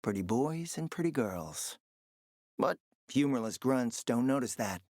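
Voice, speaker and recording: A man's voice: mid-range voice, expressive, a little slow, a little mellow, no room sound, clean studio sound. An actor playing a smug, flirtatious, decadent gourmand fixer in a video game, speaking in a pompous, theatrical, condescending way and savoring his words. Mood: sensual, savoring, then smug, scheming. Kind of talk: video game character acting.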